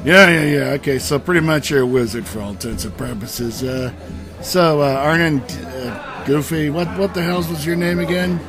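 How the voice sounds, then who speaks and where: An actor playing a blunt, sarcastic barbarian adventurer with a casual manner in a comic fantasy audio drama. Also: male voice, fairly high pitch, moderate pace, close to an everyday voice. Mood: sarcastic, impatient. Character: gruff but civilized, wisecracking male barbarian adventurer. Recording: music playing behind the voice.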